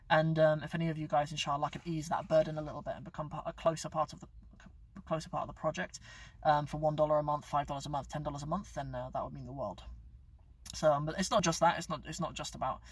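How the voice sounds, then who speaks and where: A young man chats loosely to his viewers, joking and correcting himself mid-sentence. His voice sits a little high and slightly husky. It is recorded from a bit away from the mic, dry inside a car. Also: far from the mic. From England. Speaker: YouTube channel host. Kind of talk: casual live-stream chat.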